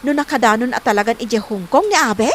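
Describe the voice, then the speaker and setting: Woman playing a nosy, high-pitched Ilocano relative. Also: fast, a bit bright, slight background noise, lightly acted. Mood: curious, surprised. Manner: exclaiming, inquisitive. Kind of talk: Ilocano comedy drama acting.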